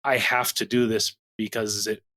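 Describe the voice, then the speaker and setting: A man's voice: on the low side, little pitch movement, normal speed, pushed and bright. Candid male podcast producer. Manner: candid, repetitive restarts. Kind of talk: casual interview talk.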